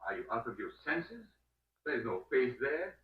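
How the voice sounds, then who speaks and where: An actor playing a cold, menacing villain in a 1930s film, on a tinny old soundtrack. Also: male voice, medium pitch, big pitch swings, moderate pace, breathy edge.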